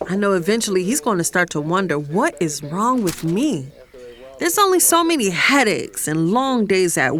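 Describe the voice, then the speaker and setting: A woman acting as a sassy fiancée with a guilty conscience, in an audio romance drama. She confides in the listener as she tells her own story, at an ordinary mid-range pitch. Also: fairly bright tone. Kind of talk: first-person dramatic narration and romantic dialogue.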